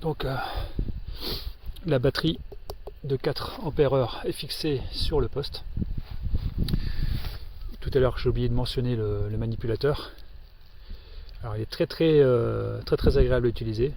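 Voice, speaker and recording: A man giving an informal hands-on equipment demonstration as an amateur radio operator, explaining things hesitantly and pausing to find his words. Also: medium pitch, gravelly, background noise.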